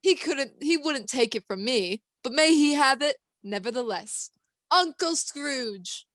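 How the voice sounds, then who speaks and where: A teenage woman acting a good-natured, forgiving young gentleman in a radio play, animated and good-humored. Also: sits high, a little slow, no echo, studio quality. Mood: cheerful, forgiving.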